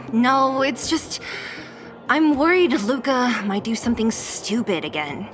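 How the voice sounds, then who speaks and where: A fairly high, slow-paced young woman's voice plays a tough game fighter. She is wry and blunt, casual in her reluctance, with music behind her. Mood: reluctant, uneasy. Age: young adult. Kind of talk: video game dialogue acting.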